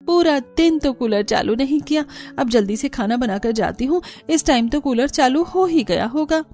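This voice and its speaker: A woman's voice: high voice, lively intonation, moderate pace, a little mellow, voice pushed up. A woman voicing a young newlywed daughter-in-law, polite but given to complaint, in a cartoon story. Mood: exasperated. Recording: music under the voice.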